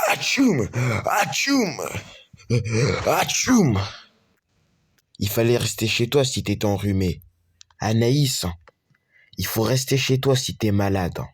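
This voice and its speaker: Slow, low-voiced man improvising a story. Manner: halting, repetitive, restarts mid-phrase. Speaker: storyteller voicing all characters. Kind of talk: improvised homemade school story with dialogue. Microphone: screen-recorder capture.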